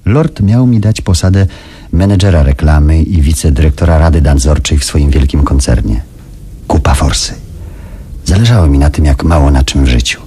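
An actor playing a calculating, ambitious businessman who tells his story in a measured, confiding way in a radio drama. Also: extremely low pitch, warm tone, slightly rough. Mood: measured, watchful. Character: Frank, calculating ambitious businessman recounting events in first person. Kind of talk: Polish radio drama narration.

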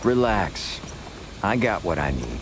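An actor playing a dry-witted, sardonic cowboy gunslinger with an American Western drawl in a video game. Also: male voice, low voice, big pitch swings, slow, background noise, exaggerated voice. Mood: easygoing, dry. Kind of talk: video game cutscene acting.

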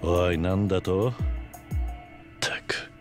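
An actor playing a stoic, tough middle-aged underworld fighter in a video game, terse, cool and gruff. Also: male voice, sits low, steady tone, slow. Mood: wary. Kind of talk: video game cutscene acting. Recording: loud music over the voice.

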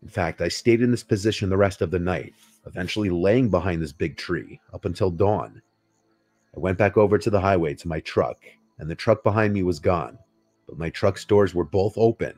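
A man narrating a scripted first-person scary story in a measured, unhurried way. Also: low-pitched, darkish tone, dry recording. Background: music behind the voice.

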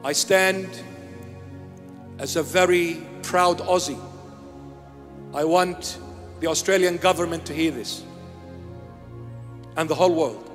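A man's voice: fairly high pitch, slow pace, pauses often, a bit bright, in a large hall, soft background music. An older man, born in Iraq, preaching an emphatic sermon into a handheld microphone in a small church, repeating key phrases. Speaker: bishop. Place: small church with altar and candles.

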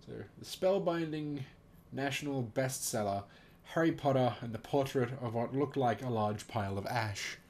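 A man's voice: mid-range voice, normal speed, a little mellow. A man casually reading aloud and commenting as host, amused and giggly, swearing now and then.

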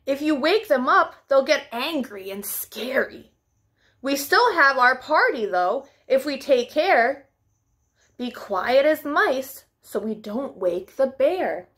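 Woman as a slow, cautious storybook badger. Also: high-pitched, sing-song, fairly bright tone, exaggerated voice. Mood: cautioning.

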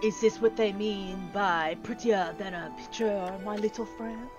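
A woman voicing a vain, flirtatious Frenchman with a put-on French accent, speaking slowly and theatrically. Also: medium pitch, lo-fi sound, music in the background, natural voice. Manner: slow, theatrical, flowery.

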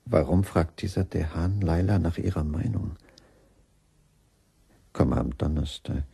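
An actor playing a brooding, puzzled husband in a crime radio play, pensive and questioning. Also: male voice, low-pitched, measured pace, creaky, dark tone, slightly rough, dry recording, full character voice. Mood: puzzled, probing. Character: brooding, puzzled husband investigating his wife's secrets.

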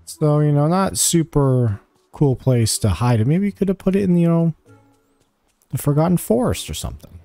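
Mellow-voiced man doing game commentary. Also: on the high side, lively intonation, normal speed, no echo, faint music behind. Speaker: gamer giving a quest walkthrough. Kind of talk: casual gameplay commentary.

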